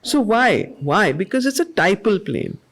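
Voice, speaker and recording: A woman's voice: medium pitch, very animated. Earnest woman leading a verse study. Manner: slow, measured recitation that repeats lines of verse, then conversational explaining; earnest, asks the group questions and answers them herself. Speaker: study circle facilitator. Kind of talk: reading verse aloud and explaining it to a group. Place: weekly study group gathering.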